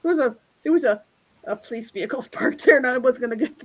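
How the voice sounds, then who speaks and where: A woman's voice: sits high, quick pace. A woman telling rambling everyday stories over a video call, laughing often as she talks. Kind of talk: casual group chat.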